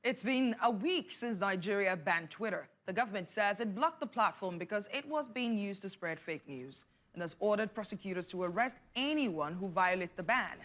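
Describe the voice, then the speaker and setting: A woman delivering a news report in a crisp, formal broadcast manner. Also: mid-range voice, lively intonation, normal speed, hardly any pauses, fairly bright tone.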